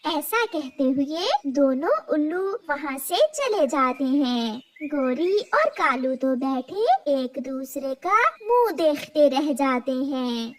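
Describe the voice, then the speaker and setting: A woman's voice: sits high, expressive, slow pace. A woman narrating a scripted children's cartoon story.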